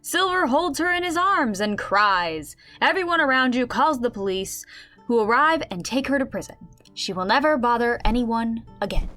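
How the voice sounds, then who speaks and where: A woman plays a tabletop game master in a radio drama. The voice is fairly high, telling the story and calling for rolls over faint music. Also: expressive, moderate pace, bright, forceful tone. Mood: storytelling. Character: game master narrating a comic fantasy tabletop game. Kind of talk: scripted radio drama narration.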